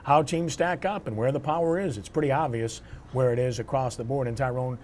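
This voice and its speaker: A man's voice: medium pitch, fairly quick, a little mellow, a little hiss or hum. A man hosting a sports talk show, delivering commentary and discussion like an anchor. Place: on location at a restaurant.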